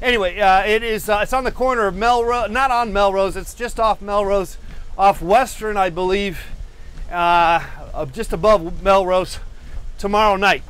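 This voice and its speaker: Man, forties, high clear voice, meandering fillers, lapel mic, indoor studio. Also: moderate pace, a bit bright. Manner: meandering, self-deprecating, with frequent fillers and restarts; walking on a treadmill while talking. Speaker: host of a live painting stream. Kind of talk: rambling live-stream chat. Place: cluttered indoor art studio.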